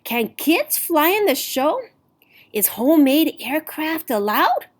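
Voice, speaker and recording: A woman's voice: high voice, sing-song, slow pace, voice pushed up, exaggerated voice. A woman voicing an eager, determined eight-year-old inventor girl in a storybook, with earnest emphasis. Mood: excited, wondering.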